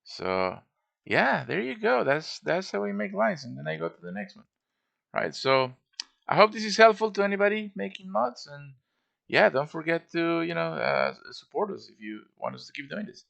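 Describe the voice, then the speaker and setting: Lively, gravelly man narrating a tutorial. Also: a little high, moderate pace. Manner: informal, thinking aloud as he works. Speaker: game modder giving a tutorial. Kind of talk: casual screen-recorded show-and-tell.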